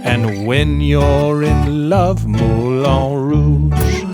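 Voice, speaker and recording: A woman playing an announcer reading slogans in a comic radio ad, measured and deliberate. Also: low-pitched. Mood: smooth. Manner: slow, measured slogan delivery. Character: smooth female ad announcer. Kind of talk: radio comedy ad. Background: guitar music.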